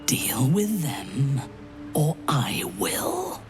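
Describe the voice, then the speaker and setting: An actress playing a proud, cold drow commander in a video game, speaking in a measured, formal, imperious way. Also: female voice, extremely low pitch, huge pitch swings, heavily acted. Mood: cold menace. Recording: background music.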